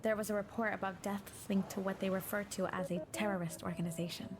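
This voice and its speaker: A woman's voice: mid-range voice, steady tone, fast talker, noisy background, close to an everyday voice. A woman playing a determined, assured female secret agent in an audio drama, speaking assertively. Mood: guarded, pressing. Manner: assertive, insistent.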